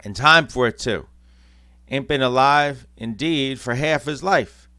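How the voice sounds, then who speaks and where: A man's voice: medium pitch, unhurried, bright, forceful tone, dry recording, pitched above the performer's own voice. A man voicing a coarse, greedy charwoman with slangy, scornful talk.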